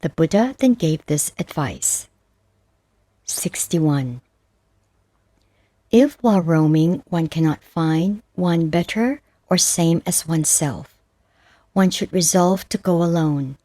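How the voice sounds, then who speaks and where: A woman narrates scripted spiritual texts at a very unhurried pace. Her voice is fairly low and mellow, with a solemn, measured delivery. The sound is dry and close, free of room reflections. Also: expressive, no echo. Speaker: audiobook narrator. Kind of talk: scripted narration of Buddhist verses and stories.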